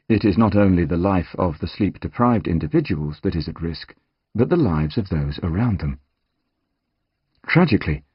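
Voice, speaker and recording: Low-pitched, expressive male audiobook narrator. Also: breathy edge, no echo, lo-fi sound. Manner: measured, articulate, dramatic emphasis. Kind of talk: scripted nonfiction narration.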